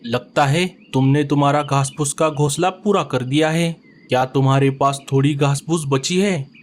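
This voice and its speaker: A friendly male bird from a children's cartoon, voiced by a man with a slightly raised pitch. He talks politely and thankfully, full of neighborly warmth. The voice sounds like an everyday voice. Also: normal speed, close to an everyday voice. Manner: polite and grateful, pleading. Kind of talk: cartoon story voice acting.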